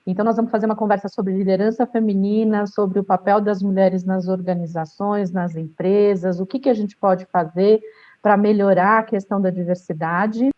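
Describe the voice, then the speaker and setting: A woman's voice: medium pitch, steady tone, moderate pace. Moderator, middle-aged woman, upbeat, leads the discussion, muffled recording. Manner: enthusiastic, warm framing of guests. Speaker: panel moderator. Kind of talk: moderating a panel.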